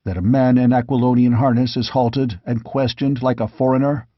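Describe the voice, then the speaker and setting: A proud warrior king, voiced by a male narrator for a fantasy audiobook. He speaks with guarded, measured authority at a medium pitch. The recording is dry and somewhat dull. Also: steady tone, no room sound, natural voice. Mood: challenging.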